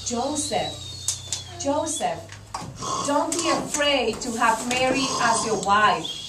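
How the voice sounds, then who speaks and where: Puppet-show angel, female performer: reassuring, solemn, very lively pitch, slow delivery, noisy distant recording. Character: solemn angel bringing God's message. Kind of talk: amateur Christmas puppet show acting. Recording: echoey room from far off, noisy.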